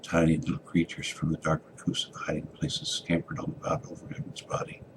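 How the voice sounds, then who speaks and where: Really deep voiced guy doing a theatrical story reading, hushed then forceful, noisy recording. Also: monotone, a little fast. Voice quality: very deep, gravelly. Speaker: author reading a short story.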